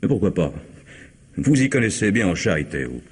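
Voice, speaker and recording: A sardonic deep-voiced tramp. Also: male voice, sing-song, a little fast, slightly rough, exaggerated voice. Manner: mocking, wry, laughs at others. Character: sardonic cynical male vagabond tramp. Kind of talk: vintage radio drama acting.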